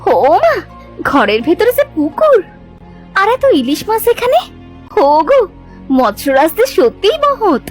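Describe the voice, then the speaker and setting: Woman as high, animated village wife. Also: measured pace, long pauses, faint music behind, full character voice. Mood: delighted, coaxing. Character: bossy but good-hearted village wife. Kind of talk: Bengali cartoon folk-tale dubbing.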